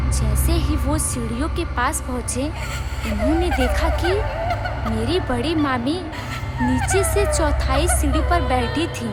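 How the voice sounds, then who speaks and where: Woman narrator, high voice, unhurried pace, scripted storytelling, music playing behind. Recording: clear music bed under narration.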